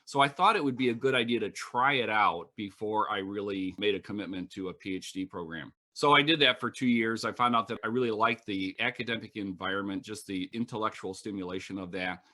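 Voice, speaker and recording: A middle-aged man, a professor, answering interview questions in a measured, matter-of-fact storytelling way. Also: on the low side, pushed and bright. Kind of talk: reflective storytelling.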